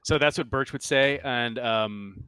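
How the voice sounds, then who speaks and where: A man's voice: medium pitch, measured pace, pushed and bright, clean tone, no room sound. A man talking casually as the game master of a tabletop roleplaying game.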